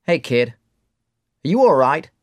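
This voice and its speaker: A man voicing a rough but kind bar owner who talks in a brash, streetwise way in a storybook reading. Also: a little high, big pitch swings, unhurried, voice pushed up. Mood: gentle.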